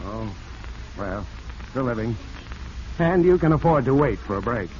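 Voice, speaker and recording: An actor playing a glib, out-of-work actor and old pal with an American accent, breezy and slangy in 1940s radio acting style. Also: male voice, medium pitch, expressive, moderate pace, a little mellow, natural voice. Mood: chummy. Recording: muffled, noisy vintage radio recording.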